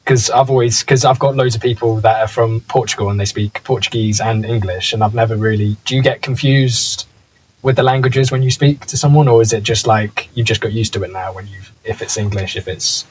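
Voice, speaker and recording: A man hosting a podcast interview, chatty and friendly, filling in with quick short acknowledgements and easy laughter. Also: on the low side, steady tone, breathy edge, rough recording. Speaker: podcast host. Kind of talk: casual interview chat.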